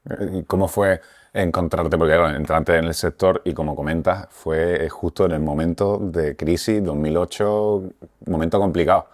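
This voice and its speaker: A man's voice: low-pitched, steady tone, moderate pace, a little mellow, no room sound, clean studio sound. A man interviewing a guest, asking probing questions with some hesitation. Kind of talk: conversational interview.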